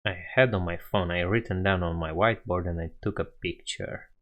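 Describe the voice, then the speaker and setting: A man's voice: deep voice, fairly even intonation, a little fast, fairly bright tone. A young man with a Romanian accent, casually chatting with viewers on a coding live stream, laughing often and thinking aloud.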